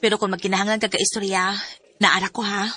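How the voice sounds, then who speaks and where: A loyal best friend in a radio drama, with a bright, forward voice at an ordinary female pitch. She is chatty and frank, expressive in her concern and always supportive. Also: quick pace. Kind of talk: radio drama acting and letter narration.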